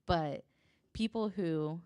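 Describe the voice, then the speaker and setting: A woman recounting true crime cases in a conversational, halting and informal way, hedging as she works from notes. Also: on the low side, a little mellow, smooth, no room sound. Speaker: podcast guest.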